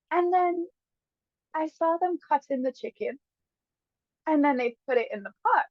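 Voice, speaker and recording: A woman with a Guyanese accent, a guest casually telling stories with lively bursts and frequent laughs. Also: sits high, dry recording. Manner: lively, laughs often, quick bursts when excited. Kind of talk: casual storytelling chat. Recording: dull lo-fi dry sound.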